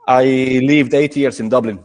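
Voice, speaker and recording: A man's voice: medium pitch, fairly even intonation, a little mellow. Guy talking beer in english, lots of ums and restarts, echoey reverb. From Italy. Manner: halting, many restarts and fillers. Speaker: craft brewer, guest. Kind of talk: casual video call chat.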